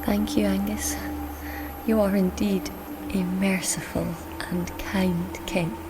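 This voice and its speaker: An actress playing a cunning, frail-seeming woman in an audio drama, formal and deliberate, often dropping into breathy whispers over a noisy ambient soundscape. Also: mid-range voice, flat delivery, over music. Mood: coaxing.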